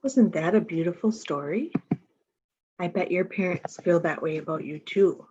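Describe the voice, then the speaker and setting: A woman's voice: on the low side, normal speed, dark tone, muffled recording, a little hiss or hum. A woman reading picture books aloud to young children, talking to them directly and asking simple questions. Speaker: storytime reader.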